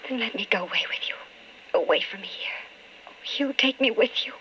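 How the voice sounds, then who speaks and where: An actress playing an anguished, impatient politician's wife in an old-time radio drama. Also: female voice, fairly high pitch, normal speed, sharp tone. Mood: pleading. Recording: muffled old radio broadcast with hiss.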